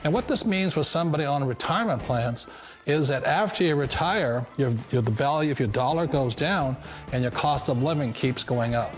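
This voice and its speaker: A man's voice: on the high side, animated, moderate pace. An older man in an archive clip, teaching plainly and walking through a diagram at a whiteboard. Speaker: author and speaker.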